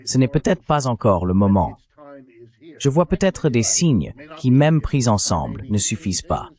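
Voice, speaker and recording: A man's synthetic dubbing voice reading political commentary in a measured, articulate way. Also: mid-range voice, sing-song, a little mellow. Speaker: voice-over translator for a panelist.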